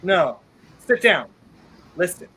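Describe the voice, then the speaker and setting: A young man plays a fugitive hero in a vintage radio thriller. He sounds husky and very animated in pitch, a quick-thinking, determined type. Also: heavily acted. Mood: hushed, firm. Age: young adult. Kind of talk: 1930s radio play acting.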